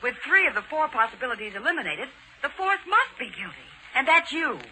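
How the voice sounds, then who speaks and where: High, animated 1940s radio sidekick. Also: female voice, brisk. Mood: eager, triumphant. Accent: American. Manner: dramatic, expressive. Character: eager, quick-witted female detective's assistant. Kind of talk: 1940s radio drama acting. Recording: noisy 1940s radio recording.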